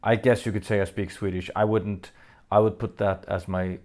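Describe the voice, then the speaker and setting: A man from the Faroe Islands, a native Faroese speaker, answering fan questions in a casual monologue, halting with many fillers and restarts. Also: fairly low pitch, flat delivery, darkish tone.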